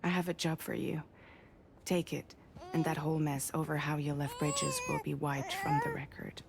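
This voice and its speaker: Low, creaky-voiced composed woman. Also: big pitch swings, normal speed, noisy background, heavily acted. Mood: grave. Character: composed, earnest woman bringing a grave mission. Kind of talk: cinematic video game acting.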